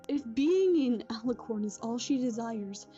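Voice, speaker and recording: A woman playing a gentle, concerned pony princess and wise mentor, speaking in a measured, formal, kindly way for an animated audio drama. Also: a little high. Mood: curious, kindly. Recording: slightly dull audio, faint music.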